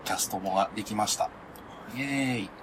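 A man's voice: lowish voice, quick pace, background noise. A man co-hosting a podcast, chatting casually with friends in a chatty, campy manner. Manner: campy, self-described "onee" talk. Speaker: podcast co-host. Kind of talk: casual chat among friends.

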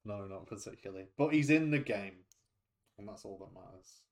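A man's voice: lowish voice, lively intonation, brisk. Laid-back man, wry humor. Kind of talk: casual podcast chat.

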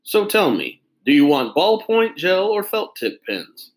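A man voicing a fussy, pompous adult pen salesman who speaks slowly and precisely, like a sales pitch. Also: very animated.

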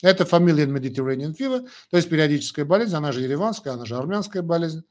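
A man's voice: a little high, animated, very fast, darkish tone. An older man from Armenia, a doctor answering viewers' questions on a live stream in a blunt, chatty way, with many fillers. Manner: blunt, talks in short emphatic phrases with many fillers.

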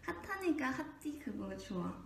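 A young woman co-hosting a casual show, soft-spoken and unhurried, sometimes dropping to a near whisper. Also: high-pitched, very animated, creaky. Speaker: radio show co-host. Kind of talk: casual chat. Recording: echoey room with faint background noise.

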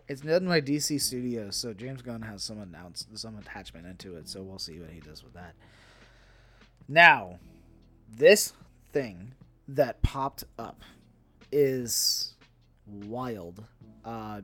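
A man's voice: fairly low pitch, fairly bright tone. Emphatic man in casual podcast chat. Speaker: podcast co-host. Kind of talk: casual nerd-news chat.

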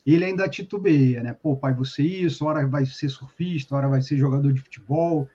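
A man's voice: a little high, brisk, dark tone, husky. Chatty Carioca man on a muffled line. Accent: Carioca Portuguese. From Rio de Janeiro state, Brazil. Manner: friendly, chatty, full of slang. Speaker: podcast guest. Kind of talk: casual podcast chat.

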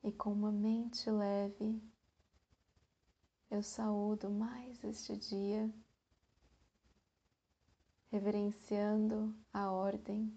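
A woman with a Brazilian Portuguese accent guiding a meditation, speaking gently and leaving long pauses between phrases. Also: medium pitch, breathy edge, dull audio. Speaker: meditation guide. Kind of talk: guided meditation.

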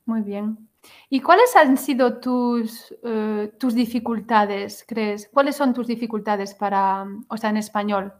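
Woman host, upbeat interviewer, fairly high voice, lots of affirmations. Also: slow. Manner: encouraging, frequent affirmations, asks follow-ups. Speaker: podcast host. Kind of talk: casual interview.

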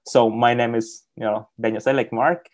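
A young man who speaks English as a second language, telling his story as a guest on a video call, halting and repeating words with many fillers. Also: medium pitch, steady tone. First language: not English. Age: young adult. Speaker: interview guest. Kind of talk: casual interview on a video call.

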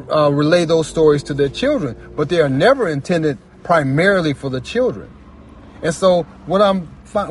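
A man's voice: fairly high pitch, slight background noise. A man speaking as a writer and storyteller in earnest, reflective personal talk, repeating phrases for emphasis.

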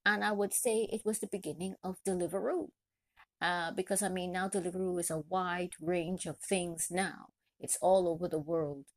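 A woman from Trinidad and Tobago hosts a solo podcast, sharing personal experience and encouragement with deliberate, repeated phrasing. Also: lowish voice, steady tone, no room sound. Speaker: podcast host, chef and caterer. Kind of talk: solo podcast talk, motivational personal story.

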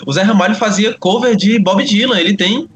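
A man's voice: high-pitched, fairly quick, muffled recording. A man chats casually about music as a podcast panelist, talkative and full of opinions.

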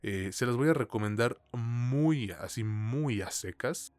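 A man's voice: mid-range voice, expressive, slow pace, a little mellow, dry recording. A man with a Mexican accent giving a casual review as a podcast co-host, speaking informally with plenty of slang and swearing.

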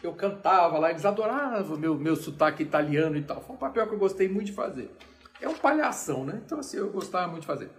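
Brazilian male lecturer, high-pitched, chatty and animated, loose explanatory style. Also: fast talker, faint music behind. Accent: Brazilian Portuguese. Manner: animated, chatty, many restarts and asides. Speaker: lecturer on opera.

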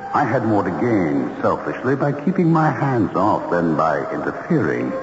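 Grave ghost in echoing reverb. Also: male voice, fairly low pitch, full character voice. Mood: reflective. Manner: formal, deliberate, theatrical phrasing. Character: grave, conscientious male ghost, gentle and urgent. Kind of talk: vintage radio drama acting. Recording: muffled, noisy radio recording, echoey reverb.

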